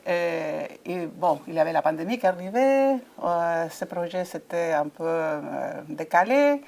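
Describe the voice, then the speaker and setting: A woman's voice: fairly low pitch, animated, measured pace. Woman speaking French as a second language. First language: not French. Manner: hesitant, many fillers and restarts, warm enthusiasm. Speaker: ambassador of a coaching federation. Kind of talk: conference interview.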